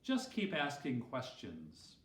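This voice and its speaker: A man voicing a gentle, patient, reassuring male teacher in a children's Bible story, explaining kindly. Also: mid-range voice, huge pitch swings, slow pace, exaggerated voice. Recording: roomy echo, distant microphone.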